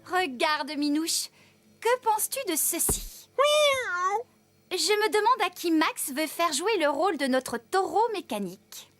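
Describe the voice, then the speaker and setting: A woman voicing a cheerful, enthusiastic young woman farmer in a children's cartoon, with a lively, expressive delivery. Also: extremely high pitch, a little slow, soft background music, heavily acted. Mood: admiring.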